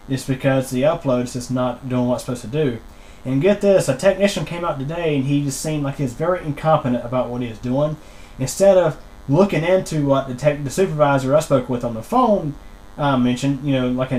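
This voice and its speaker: A man explaining a technical complaint as a customer on a phone call, wordy and halting, often repeating himself. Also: medium pitch, darkish tone. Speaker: customer calling internet support, channel host.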